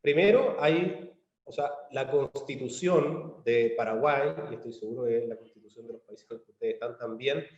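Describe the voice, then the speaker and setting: A man with a Chilean accent giving a measured conference presentation over a video call, with frequent fillers and restarts. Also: mid-range voice, echoey room, dull audio. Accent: Chilean Spanish. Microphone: computer mic on a video call.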